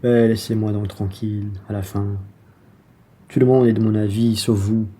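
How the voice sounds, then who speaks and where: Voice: medium pitch, flat delivery, normal speed, mellow, husky, close to an everyday voice. An actor playing a blunt, irritable, self-serving bourgeois traveller in a French radio play, with a brusque manner. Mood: irritated.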